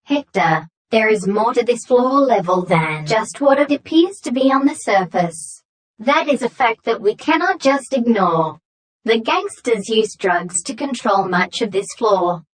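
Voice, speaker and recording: A woman playing a stern young female courier in a story, speaking in formal, matter-of-fact, measured statements. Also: on the high side, animated, poor audio. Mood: earnest, serious. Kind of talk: scripted story dialogue.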